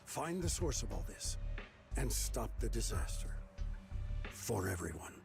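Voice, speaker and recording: An actor playing a stern, duty-bound sect elder in a video game, with a solemn, authoritative way of speaking. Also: male voice, lowish voice, very animated, unhurried, creaky, exaggerated voice. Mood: grave, insistent. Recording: music behind the voice.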